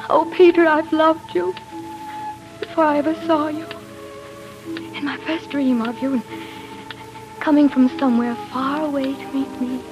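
An actress as a yearning young woman in a 1940s radio play. Her voice is high and tender, with a pleading romantic delivery. Faint music sits behind her on the old broadcast. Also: fairly even intonation, normal speed, pauses often, close to an everyday voice, low-quality recording. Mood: adoring.

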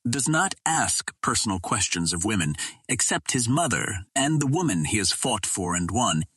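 Storybook Martian princess, read by a man: proud, tender, formal, gentle, with a husky edge. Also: on the low side, very animated, moderate pace, dry recording, clean studio sound, full character voice. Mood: guarded. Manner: formal, gentle, thoughtful phrasing.

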